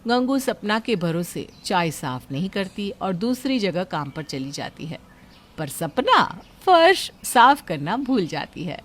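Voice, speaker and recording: A woman narrates a story from a script. Her voice sits at a middle pitch, and she delivers it as a storyteller. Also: very animated, a little slow.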